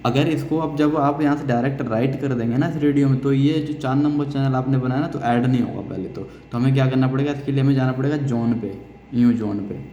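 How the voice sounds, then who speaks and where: An Indian man works through a technical tutorial, repeating key phrases as he explains each step. His voice is mid-pitched and soft-edged, with no sharpness. The room is very echoey, with some background noise. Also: a little fast, highs cut off. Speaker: technical instructor. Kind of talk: hands-on spoken software tutorial. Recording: echoey reverberant room, noisy, distant sound.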